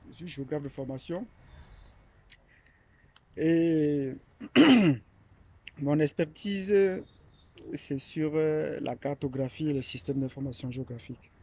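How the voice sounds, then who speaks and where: A man's voice: fairly high pitch, lively intonation, slow, long pauses, darkish tone, dry recording. A man from Cameroon, an academic, talking unscripted and hesitantly about a platform he founded, with many fillers and restarts. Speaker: academic presenting his platform. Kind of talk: unscripted monologue.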